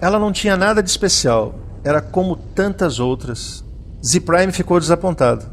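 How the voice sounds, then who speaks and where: A man's voice: high-pitched, expressive, moderate pace, slight background noise. A man narrating a scripted audio drama in a solemn, literary reading style, with music behind him.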